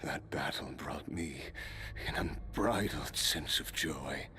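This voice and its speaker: An actor playing a weary, cursed immortal warrior in a game cutscene, speaking low and half-whispered. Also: male voice, takes it slowly, bright, forceful tone, slight background noise, full character voice. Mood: reflective.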